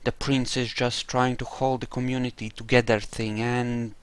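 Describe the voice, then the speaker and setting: A man's voice: medium pitch, flat delivery, moderate pace, clean tone, dry recording. A man giving casual, wry commentary on a game playthrough, musing aloud on a close mic. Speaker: gamer playing in character.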